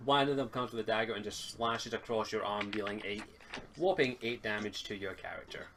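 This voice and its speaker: A man's voice: fairly low pitch, a little fast. Scottish man running a tabletop game, faint noise. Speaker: game master. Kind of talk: casual game narration with dice calls. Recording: home mic with faint noise and clicks.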